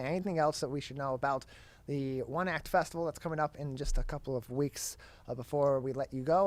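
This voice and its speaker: A man with a somewhat high voice hosts a broadcast interview. He delivers information and questions in a polished, announcer-like way, repeating key details. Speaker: interview host.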